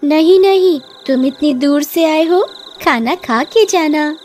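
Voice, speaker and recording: A woman voicing a sly, flirtatious witch who coaxes and teases with drawn-out calls in a cartoon horror story. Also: high-pitched, a little mellow, slight background noise. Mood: mock-sweet, menacing. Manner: coaxing, teasing, drawn-out calls. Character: sly flirtatious female witch luring men into a well.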